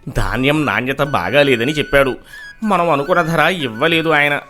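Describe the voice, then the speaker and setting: A man's voice: a little high, moderate pace, bright, forceful tone, soft background music. A man playing a village grain merchant in a moral story, matter-of-fact in manner. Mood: apologetic.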